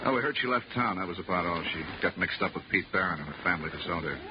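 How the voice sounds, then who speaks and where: Blunt, wry American sheriff, deep voice, 1950s radio drama, muffled recording with heavy hiss. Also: male voice, animated, rapid-fire. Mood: probing. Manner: wry, plain-spoken. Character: blunt, folksy, world-weary male sheriff. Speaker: supporting actor.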